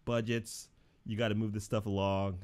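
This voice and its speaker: A man's voice: fairly low pitch, level tone, normal speed, a crowd in the background. A young man chatting casually about an episode in a laid-back, easygoing way. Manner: laid-back, says dude a lot. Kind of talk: casual review chat.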